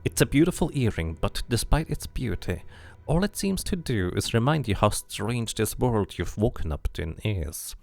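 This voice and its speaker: Very deep-voiced man with a creaky edge. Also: sing-song, moderate pace, darkish tone. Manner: thinking aloud, musing. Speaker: streamer playing and narrating a game. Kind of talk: casual game commentary mixed with reading game text aloud.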